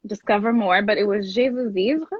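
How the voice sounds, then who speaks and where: A woman's voice: mid-range voice, fairly quick, breathy edge, no room sound. A young woman from Kenya, a native Luo speaker, chatting casually and enthusiastically as an interview guest. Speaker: guest, classical singer and voice teacher. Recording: dull lo-fi close dry sound.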